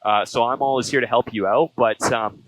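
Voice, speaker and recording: A man's voice: mid-range voice, steady tone, a little fast, a bit bright, dry recording, poor audio. A man hosting a casual quiz-style interview, chatting informally. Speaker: show host and pilot. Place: small aircraft cockpit.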